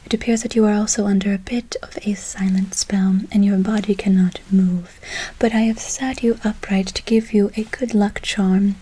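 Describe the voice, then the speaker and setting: A woman playing a kindly, mysterious forest witch in a slow, breathy whisper, for an ASMR role play with soothing repeated words and quaint phrasing. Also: mid-range voice, steady tone, warm tone, natural voice, poor audio. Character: kindly, mysterious female forest witch casting good-luck spells.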